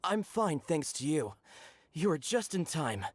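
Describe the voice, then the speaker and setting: A man's voice: on the high side, sing-song, measured pace, no echo. An actor playing a grateful, good-natured delivery man in a video game, chatty and politely folksy. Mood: relieved.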